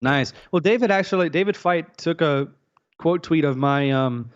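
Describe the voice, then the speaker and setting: A man's voice: on the high side, normal speed. A man as a guest on a casual livestream, rambling and joking crudely. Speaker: podcast guest. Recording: dull lo-fi audio.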